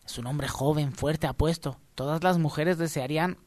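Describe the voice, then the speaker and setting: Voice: mid-range voice, moderate pace, darkish tone, dry recording. A radio co-host in casual comic chat, playful and mock-dramatic while explaining things.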